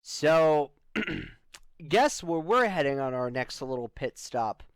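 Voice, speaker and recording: A man's voice: fairly high pitch, lively intonation, slow, clear, resonant tone, dry recording. A man playing a wry spaceship adventurer in loose, improvised sci-fi roleplay, joking and stretching out his lead-ins. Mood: wry, explaining.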